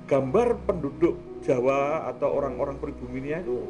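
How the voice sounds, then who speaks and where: A man's voice: mid-range voice, moderate pace. Chatty Javanese-accented man over music. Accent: Javanese-accented Indonesian. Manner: chatty, peppers talk with colloquial Javanese words and tag questions. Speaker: host of an art talk show. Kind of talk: casual, rambling discussion.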